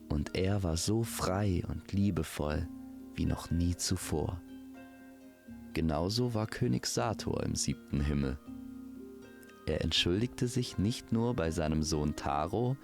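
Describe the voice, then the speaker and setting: Deep, slow, gravelly male storyteller. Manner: addresses the listener intimately as a friend. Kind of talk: scripted fairy-tale narration for an audio story.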